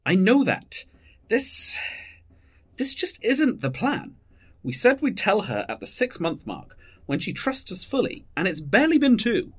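Husky, very animated, controlling leader acted out. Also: medium pitch, a little slow, full character voice. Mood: angry, insistent. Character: stressed, controlling, pragmatic leader of a secret plan. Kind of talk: audio drama dialogue.

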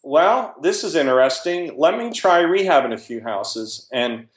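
A man's voice: on the high side, animated, unhurried, echoey room. A man, a real estate investor, talking casually in an interview and telling stories with frequent restarts. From New Jersey.

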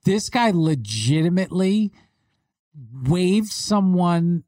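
A man with a fairly high voice co-hosts a casual sports chat, and he tells his stories animatedly, stopping and restarting as he goes. The sound is close and dry. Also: slow pace, no echo. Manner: animated storytelling with many restarts. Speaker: podcast co-host, rules expert.

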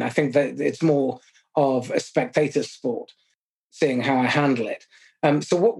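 A middle-aged man with a husky, slightly breathy voice hosts a conversational podcast. He lays out his summary point by point at a measured pace, with a self-deprecating streak. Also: a little high, darkish tone. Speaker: podcast host.

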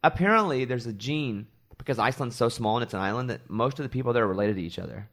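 A man's voice: medium pitch, animated, fast talker, fairly bright tone. Guy from arkansas hosting, just rambling and chatting away, medium voice. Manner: rambling, chatty storytelling with self-deprecating asides. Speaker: radio morning show host. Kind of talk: casual podcast chat.

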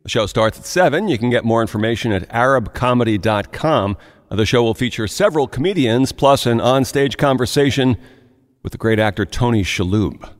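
A man reading the local news as a radio anchor, in a steady, measured way with occasional asides. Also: mid-range voice, long reverb tail.

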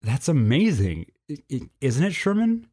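A man's voice: medium pitch, very animated, measured pace, warm tone, husky, voice pushed up. A man voicing an earnest, curious young male reptilian schoolboy in a storybook, asking with hesitant wonder. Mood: curious, amazed. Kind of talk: storybook character voice.

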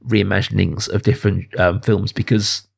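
A man's voice: deep voice, little pitch movement, normal speed, creaky, breathy edge. A man in his thirties hosting a film podcast in a conversational style. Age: 30s. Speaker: podcast host.

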